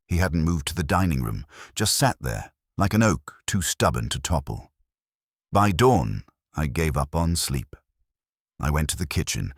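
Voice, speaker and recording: A man's voice: rumbling low, husky, no room sound, clean studio sound. A man narrating a scripted detective story as an audiobook.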